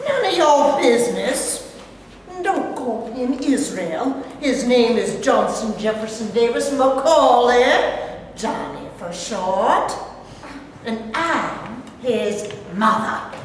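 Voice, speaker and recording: A woman's voice: on the high side, expressive, slow, a little hiss or hum. A woman reading a defiant birth mother, curt and defensive. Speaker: actor in a staged reading. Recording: echoey hall from far off.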